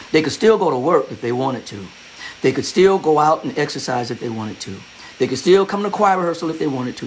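A man's voice: mid-range voice, slight background noise, low-quality recording. A man preaching a sermon as pastor, in a repetitive, conversational style that builds its emphasis.

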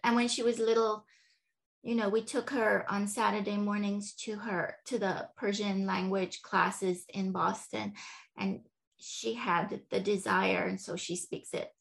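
Gravelly-voiced woman author chatting. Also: medium pitch, flat delivery, measured pace. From Iran. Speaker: author guest. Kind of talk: casual interview chat.

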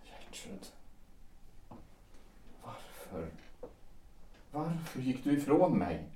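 A man playing a wounded, jealous fifty-year-old former lover in a period audio drama, brooding and halting, often repeating his words. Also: mid-range voice, a little mellow, recorded from a distance, natural voice. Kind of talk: period stage-play audio drama acting. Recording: roomy echo, away from mic.

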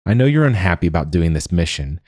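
A man voicing a talkative, persistent young woman who teases and chatters quickly. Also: deep voice, very animated, a little mellow, no room sound, clean studio sound. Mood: teasing. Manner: talkative, teasing, rapid.

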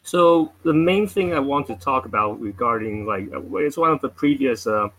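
A man's voice: mid-range voice, expressive, normal speed, a bit bright, low-quality recording. A man from Taiwan calling in to a show, talking casually with many fillers and hesitations. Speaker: caller. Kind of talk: casual call-in chat.

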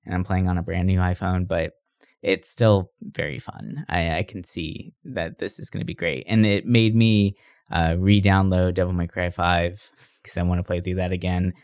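A man's voice: low-pitched, fairly even intonation, normal speed, a little mellow, no echo, clean studio sound. A man co-hosting a podcast, chatting casually about games in a rambling, informal way. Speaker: podcast co-host. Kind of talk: casual gaming chat.

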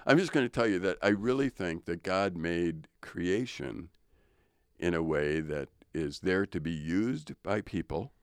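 An older man with a very low, deep voice talks through ideas of faith in a thoughtful, unhurried way, a little preachy. He co-hosts a relaxed studio podcast. The sound is close and dry, with no room echo. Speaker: co-host. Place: radio studio.

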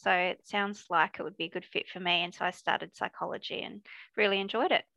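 Bright Australian woman, slightly creaky. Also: fairly low pitch, steady tone, fairly quick, no room sound. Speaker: academic researcher, podcast guest. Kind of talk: casual interview chat.

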